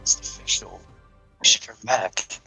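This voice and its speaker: A man playing a sensible, patient older guardian in a cartoon table read, with a measured, mildly admonishing delivery. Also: bright, forceful tone, dry recording, soft background music, rough recording. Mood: reluctant, cautious.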